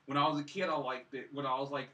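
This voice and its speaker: A man's voice: mid-range voice, steady tone, fast, pushed and bright, far from the mic, poor audio. A young man co-hosting a casual movie review conversation with friends. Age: young adult. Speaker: movie review podcast co-host. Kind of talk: casual group film discussion.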